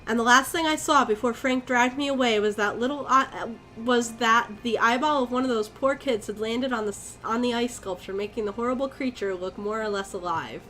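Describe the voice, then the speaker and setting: A woman's voice: on the high side, brisk, few pauses, a bit bright, lightly acted, poor audio. A woman playing an uneasy mother with a Maine accent, recounting a horrifying memory as a dramatic story. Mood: grim, shaken. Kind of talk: dramatic first-person storytelling.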